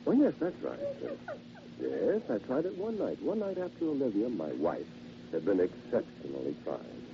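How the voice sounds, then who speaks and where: An actor playing a polite, halting, quietly sinister henpecked salesman in a theatrical vintage radio horror monologue. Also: on the low side, huge pitch swings. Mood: sinister, amused. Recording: noisy, dull vintage radio recording.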